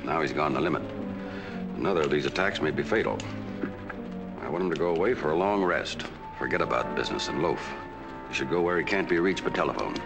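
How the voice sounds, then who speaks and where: An actor playing a grave, authoritative family doctor with clipped delivery in a 1940s film noir. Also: male voice, very deep voice, expressive, fast, gravelly, full character voice. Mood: grave, firm. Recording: old 1940s film soundtrack with music.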